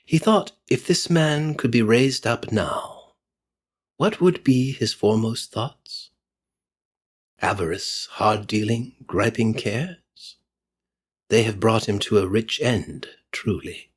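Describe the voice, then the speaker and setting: Deep, husky man reading dramatically. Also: takes it slowly. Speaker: audiobook reader. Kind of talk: dramatic scripted narration of Victorian fiction.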